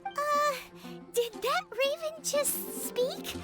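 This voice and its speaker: Squeaky, very high childlike fairy. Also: female voice, drawn-out words, over music, exaggerated voice. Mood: baffled. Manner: blunt, refers to herself in third person. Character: tiny childlike floating fairy companion, blunt and easily baffled. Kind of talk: video game cutscene acting.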